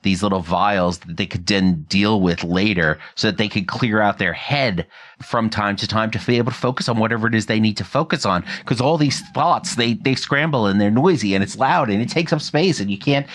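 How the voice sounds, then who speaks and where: A man's voice: lowish voice, fairly bright tone. A man speaking conversationally as the host of an interview podcast.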